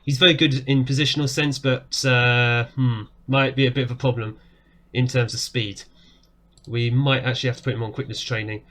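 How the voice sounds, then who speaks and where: A man in his thirties talks through a football management game from his desk at home. He is chatty and eager, rising sharply whenever a goal goes in. His voice sounds worn and a little hoarse from talking for so long. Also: medium pitch, moderate pace. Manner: excitable, jumps up when goals go in. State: voice going hoarse. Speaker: game streamer. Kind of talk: casual gameplay commentary. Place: small room indoors. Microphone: webcam framing at desk.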